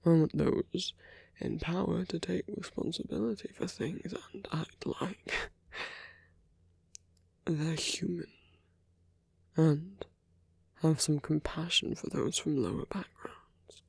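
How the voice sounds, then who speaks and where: A man playing a smitten, idealistic villain in an intimate ASMR roleplay, with a husky, often whispered voice and an earnest, coaxing manner. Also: a little high, steady tone, takes it slowly, long pauses, no room sound, full character voice. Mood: earnest, tender. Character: romantic male villain, smitten, idealistic, urging a lover to flee.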